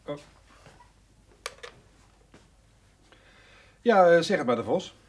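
A man's voice: on the high side, expressive, quick pace, lightly acted. An actor playing a reticent, awkward male farmer in a naturalistic radio drama, speaking hesitantly and curtly. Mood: wary. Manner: hesitant, curt.

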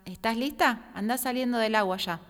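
A woman's voice: fairly high pitch, moderate pace, lightly acted. A woman playing a caring Argentine mother calling her daughter in children's radio theater, heard through a long reverb. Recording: distant, long reverb, faint noise.

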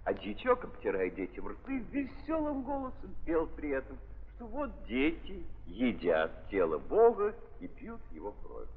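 A man narrating literary prose in a declamatory, deliberate 1930s theatrical style with an ironic edge, on an old archive recording. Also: fairly high pitch. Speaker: narrator of a stage-based radio play. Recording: scratchy, tinny 1930s archive recording with hiss.

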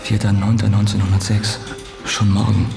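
An actor playing a terse official announcing a deportation in a German radio drama, in clipped phrases. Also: male voice, lowish voice, level tone, moderate pace, gravelly. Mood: matter-of-fact. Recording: distant, echoey, with music.

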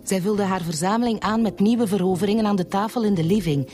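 An actress playing a cool, measured Flemish bookkeeper and mistress under questioning in a radio drama. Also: female voice, mid-range voice, warm tone, lightly acted. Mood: cool, disclosing. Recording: music behind the voice.

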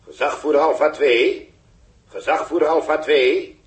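An actor plays a male launch control officer in a vintage space radio drama. His voice is on the high side and rings with echo. He is official and dryly humorous. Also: measured pace, natural voice. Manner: official, dry. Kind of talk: 1960s radio drama acting. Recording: echoey old radio recording.

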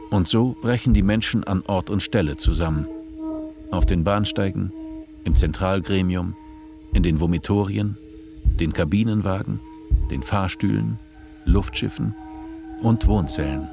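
A man narrating a scripted radio play in a measured, literary manner. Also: sits low.

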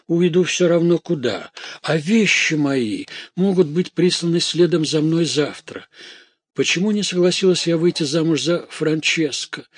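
Casting: male narrator as frightened young maidservant, high-pitched, husky, breathless and garrulous, gothic storybook. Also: dry recording. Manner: breathless, exclamatory, rambling.

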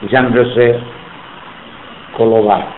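A man's voice: mid-range voice, fairly even intonation, slow pace, roomy sound, phone-quality sound, slight background noise. A man in his seventies from Sicily, speaking Spanish with an Italian accent in an oral history interview, emphatic and indignant. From Sicily, Italy. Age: 70s.

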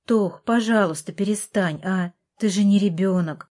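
A woman's voice: mid-range voice, normal speed, a little mellow, lightly acted. Audiobook role: weary young woman caring for a younger brother, apologetic, pleading, insistent, read by a female narrator. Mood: coaxing.

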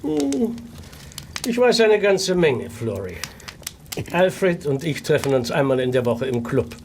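An actor playing an insinuating, quietly menacing gentleman in a radio play, drawling suggestively. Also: a little high, big pitch swings, a little hiss or hum, heavily acted. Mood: smug, teasing. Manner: slow, drawling, suggestive delivery. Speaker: actor in an audio drama. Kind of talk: German radio play acting.

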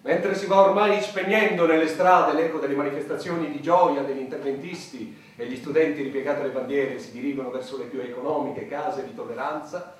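A bombastic president played by a man in a satirical radio play, declaiming formally in a high male voice. The sound is distant and heavily echoing, as in a big hall. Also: fairly even intonation, a little fast, close to an everyday voice. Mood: smoothly official. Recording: echoey hall, distant microphone.

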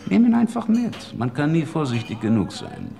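An actor playing a menacing crime boss in an audio drama, giving controlled, commanding orders in a gravelly voice. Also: male voice, fairly high pitch, huge pitch swings, moderate pace, warm tone, full character voice. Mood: controlled menace. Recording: long hall reverb, music bed.

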